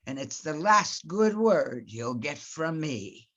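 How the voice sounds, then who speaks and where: A woman's voice: low voice, big pitch swings, slow pace, a bit bright, heavily acted. A woman playing a defiant male sea captain in a radio play, commanding and declamatory.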